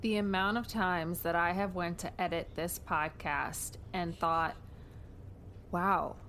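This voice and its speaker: A woman's voice: lowish voice, steady tone, takes it slowly, a bit bright. A woman co-hosting a podcast, chatting casually in an informal, self-deprecating way. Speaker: podcast co-host interviewing a guest. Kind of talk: casual chat. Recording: faint background noise.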